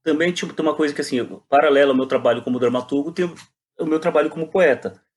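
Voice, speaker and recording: A man's voice: on the high side, quick pace. A Brazilian man talking casually and reflectively as an interview guest, often repeating words as he searches for phrasing. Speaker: playwright and poet guest.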